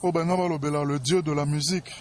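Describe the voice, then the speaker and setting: Pompous Congolese man, higher voice, noisy recording. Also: fairly even intonation, brisk. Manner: pompous, boastful, self-important.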